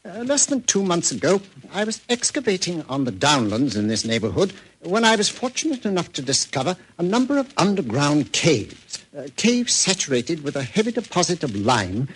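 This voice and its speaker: A man's voice: on the high side, very animated, normal speed, hardly any pauses, slight background noise, poor audio. An actor playing a learned museum curator, hesitant but precise, eager to explain his discoveries in a 1940s radio play. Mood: eager, scholarly.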